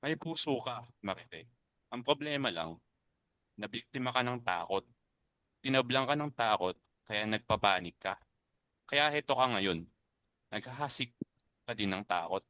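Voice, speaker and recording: A man's voice: mid-range voice, animated, a little slow, pauses often, pushed and bright, no echo. A man with a Filipino accent playing a principled, compassionate club uncle in a dramatic reading. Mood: earnest, firm. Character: principled older club uncle urging compassion over fear.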